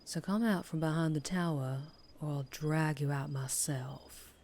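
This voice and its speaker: A woman plays a mysterious vampire biker in an ASMR roleplay. Her voice sits on the low side and she takes her time with each phrase. She is teasing and menacing by turns. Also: measured pace, darkish tone. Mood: wary, threatening.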